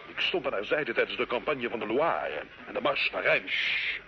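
A man's voice: mid-range voice, animated, normal speed, lightly acted. Intense, impatient nobleman-knight for period radio play, male actor; curt, brooding, dull and noisy sound. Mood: intense, grieving. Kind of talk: old radio drama acting.

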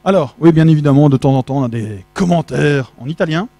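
A man's voice: on the high side, brisk, creaky, warm tone, dry recording. A middle-aged man speaking French at a tech conference, chatty and joking, quizzing the audience, on a handheld mic in a large auditorium. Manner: chatty, jokey, asks the audience questions. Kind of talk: casual tech conference talk. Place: large dark auditorium with projection screen. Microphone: handheld microphone.

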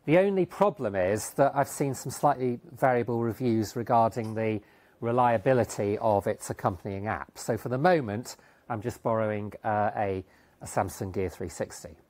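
A man's voice: on the low side, moderate pace, a little mellow. A middle-aged man giving a technical talk in a lecture hall, speaking with many ums and restarts. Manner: hesitant, many fillers and restarts. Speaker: conference speaker. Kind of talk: technical conference talk with slides.

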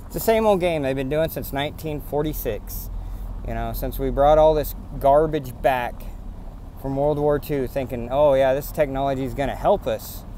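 A man's voice: medium pitch, normal speed, dark tone, a little hiss or hum. A man vlogging while driving around rural farmland, talking in an indignant, rambling way and repeating himself. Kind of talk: casual rant to camera. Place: in a pickup truck on farm roads, then outdoors on rural land.

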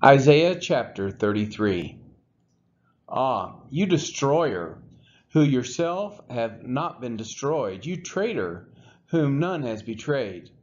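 A man reading scripture aloud in a measured, unhurried way, as the regular reader of a daily series. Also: medium pitch, dull audio. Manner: measured, expressive rises and falls.

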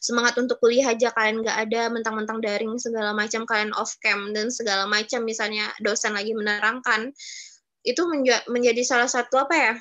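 A woman's voice: fairly high pitch, level tone, a little fast, fairly bright tone, muffled recording. A woman in her twenties teaching students in a casual, chatty way over a video call. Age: 20s. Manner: chatty, addresses students as younger siblings. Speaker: webinar speaker.

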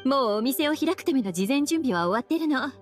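Sultry witch librarian, languid big-sister teasing, fairly high voice. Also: expressive, fairly quick, faint music behind. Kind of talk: Japanese game dub.

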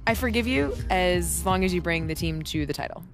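A self-assured young American college student, chats casually and kindly in a video game cutscene. Her voice is on the low side, over faint music. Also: animated, brisk. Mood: playfully firm. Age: young adult.

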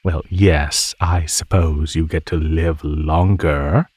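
Man playing a very deep, slow, menacing naga. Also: fairly even intonation, a little mellow, slightly rough, no echo, full character voice. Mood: musing, skeptical. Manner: slow, mocking, condescending drawl with drawn-out pauses. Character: teasing, menacing male naga predator toying with captive prey. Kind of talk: ASMR roleplay acting.

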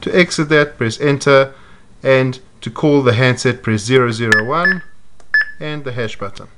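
A man giving a measured, step-by-step walkthrough of programming a device, voiced over close-up footage. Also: medium pitch, heavy background noise. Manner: measured, methodical explaining. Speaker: product demonstrator. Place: indoors at a table.

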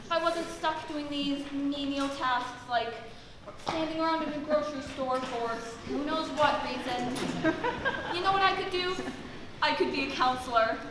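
An impatient, self-absorbed female shopper acted by a young woman in a stage comedy. She speaks high, in a very echoey hall with clear background noise. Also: moderate pace, natural voice. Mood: self-important. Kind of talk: amateur stage comedy acting. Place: theatre stage, distant camera. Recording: echoey stage, noisy distant recording.

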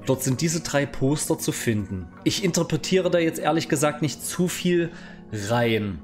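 A man's voice: a little high, expressive, unhurried, soft background music. A man in his thirties presenting video game news in a casual, explanatory way, recorded close on a studio mic. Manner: informal, explanatory. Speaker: gaming YouTuber. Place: small indoor home streaming room. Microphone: studio microphone on boom arm, close.

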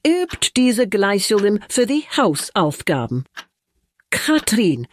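A woman's voice: mid-range voice, very animated, slow, no echo, studio quality. A woman voicing a strict female math teacher in a children's audio drama, speaking in a firm, instructive way.

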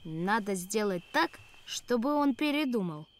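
A woman's voice: medium pitch, expressive, measured pace, a little hiss or hum, natural voice. A woman voicing a sensible, caring young boy in a cartoon, in an earnest manner. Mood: earnest, planning. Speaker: voice actor. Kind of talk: animated cartoon acting.

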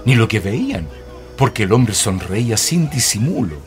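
A man's voice: fairly low pitch, sing-song, moderate pace, faint music behind. A Chilean man narrating a wry radio play in a dramatic storytelling style.

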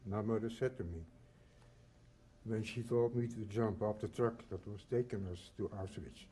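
A man in his eighties from the Netherlands and Belgium, reading a prepared personal testimony in measured, solemn short phrases. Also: on the low side, dark tone, slight background noise. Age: 80s. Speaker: Holocaust survivor giving testimony.

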